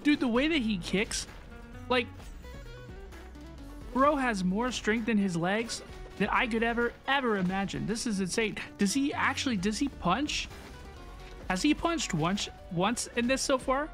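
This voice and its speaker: A woman giving casual, excited reaction commentary as a video host, exclamatory and slangy, often repeating herself. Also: on the high side, a little slow, long pauses, soft background music.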